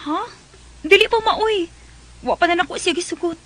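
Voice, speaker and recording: A woman's voice: extremely high pitch, moderate pace, a bit bright. A woman with a Cebuano Filipino accent playing a shy, hesitant young woman being courted in a radio drama. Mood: coy, hesitant. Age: young adult.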